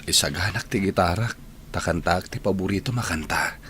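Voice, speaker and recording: A man's voice: low voice, a little fast, fairly bright tone, slight background noise. A man playing a devoted, sorrowful husband in a radio drama, obliging in his manner. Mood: gentle, sad.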